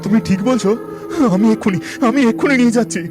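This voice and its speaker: Man acting a high-pitched, fretful village fisherman. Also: fast talker, natural voice. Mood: anxious. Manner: fretful, melodramatic. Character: poor village fisherman, devoted anxious father and husband. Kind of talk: Bengali horror cartoon voice acting. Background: music.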